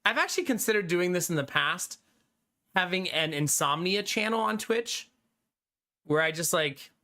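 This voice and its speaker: Animated, bright-voiced male streamer. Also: sits high, moderate pace. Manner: animated, talkative, laughs often. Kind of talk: casual chat with live chat.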